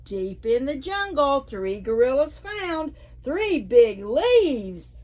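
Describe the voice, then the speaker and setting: Animated, slow-paced woman storyteller. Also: sits high. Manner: playful, deliberate. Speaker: library storytime presenter. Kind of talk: preschool story reading.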